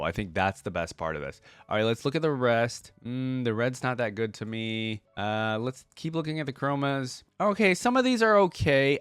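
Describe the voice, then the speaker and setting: A man's voice: medium pitch, dry recording. A man with a slightly dry, close sound and a mid-range voice gives casual reaction commentary as a video host. He speaks informally and slangily, thinking aloud as he goes.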